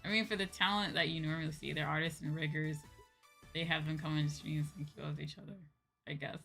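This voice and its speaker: A woman chats casually on a livestream in a clearly bright, forward voice that sits a little low. She is animated and informal, talking straight to her viewers. Faint music plays behind her. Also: brisk. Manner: animated, informal, chatty, often seeks agreement with "right?". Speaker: VTuber streamer chatting with viewers. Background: background music.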